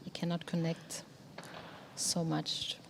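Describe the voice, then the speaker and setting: A woman in a reflective group conversation, speaking thoughtfully with frequent pauses and a voice that often trails off softly. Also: sits low, completely flat delivery, vocal fry, noisy background. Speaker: discussion participant. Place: exhibition hall in a museum.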